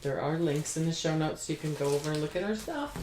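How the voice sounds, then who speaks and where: A woman hosting a casual craft podcast, rambling haltingly and sometimes trailing off as if talking to herself. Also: low-pitched, a little mellow, a little hiss or hum. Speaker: podcast host showing knitting and spinning.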